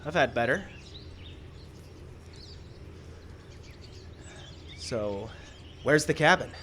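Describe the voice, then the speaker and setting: A fussy screenwriter in a live radio comedy. He is middle-pitched, plaintive and deadpan, forever suspicious and put upon. Outdoor noise and birds sit behind him. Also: moderate pace. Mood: fussy, groggy. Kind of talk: live old-time radio style comedy acting. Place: outdoor park stage. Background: birds and outdoor ambience.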